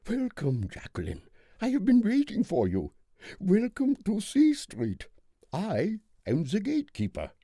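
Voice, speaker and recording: Audiobook thriller role: welcoming male architect-gatekeeper, put on by a male narrator, lively high voice, reassuring and eager. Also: measured pace, no room sound, clean studio sound, exaggerated voice. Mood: eager welcome. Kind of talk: audiobook character voice.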